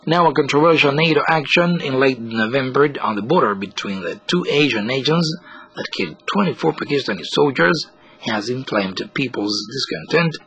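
Bright, forceful male news reporter. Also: mid-range voice, rough recording. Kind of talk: scripted news commentary.

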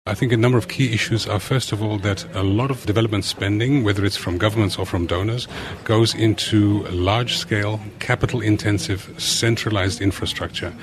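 A man explains his points in an interview, with clear crowd noise behind him. His voice is slightly deeper than average. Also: steady tone, a little fast. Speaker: activist interviewee. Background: crowd chatter.

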